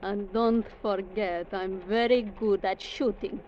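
A woman's voice: mid-range voice, steady tone, takes it slowly, close to an everyday voice. An actress playing a proud, armed young Latin American woman with a Spanish accent, defiant and commanding, in a 1940s radio drama. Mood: determined, threatening. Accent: Spanish-accented English, Latin American. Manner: defiant, commanding, clipped speech. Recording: muffled, noisy vintage radio recording.

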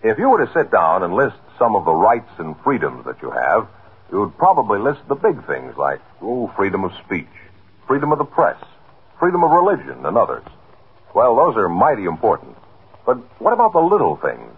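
Animated male announcer on old radio. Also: lowish voice, moderate pace, husky. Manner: folksy, explanatory, emphatic. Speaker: public service announcer. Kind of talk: scripted 1950s radio message, conversational address. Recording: muffled narrow-band 1950s radio recording.

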